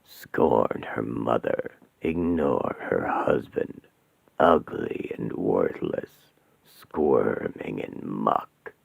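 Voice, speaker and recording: A man voicing an ancient, hateful male demon regent who speaks in slow, whispered, menacing taunts. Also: very deep voice, sing-song, vocal fry, husky, dry recording, voice pushed down, full character voice. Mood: taunting. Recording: tinny phone-like sound.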